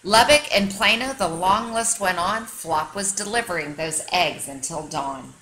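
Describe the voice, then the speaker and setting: A woman reading a children's picture book aloud like a teacher, with expressive, dramatic storytelling for young listeners. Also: on the low side, unhurried, slight background noise, low-quality recording.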